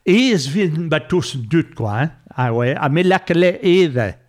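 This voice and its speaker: A man's voice: fairly high pitch, lively intonation, unhurried. A man chatting casually in conversation.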